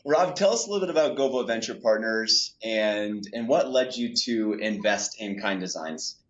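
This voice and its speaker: A man's voice: lowish voice, expressive, moderate pace, highs cut off. A man hosting a podcast interview, asking guests questions in a conversational way. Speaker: host.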